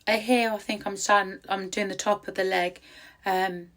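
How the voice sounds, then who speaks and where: A tattoo artist with a croaky voice, chatting casually in a rambling voice-over with many ums, recorded outdoors in quiet countryside. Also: female voice, mid-range voice, flat delivery, normal speed.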